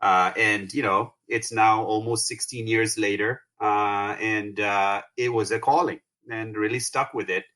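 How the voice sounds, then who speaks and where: A middle-aged man from Tanzania, a company founder, talking through his career in a relaxed interview with plenty of fillers and restarts. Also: fairly low pitch. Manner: halting, many fillers and restarts. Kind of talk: conversational interview.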